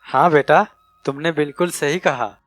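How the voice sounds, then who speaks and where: A man's voice: a little high, steady tone, normal speed, a bit bright, no echo, faint music behind, lightly acted. A man playing a tired, affectionate, burdened father and husband in a storybook tale, weary and tender. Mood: reassuring.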